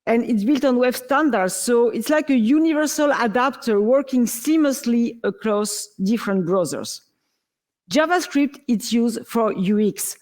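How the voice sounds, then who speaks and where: A woman's voice: a little high, expressive, measured pace, a little mellow. French woman giving a tech talk. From France. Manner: upbeat, explanatory, playful comparisons. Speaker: conference speaker. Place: large conference stage.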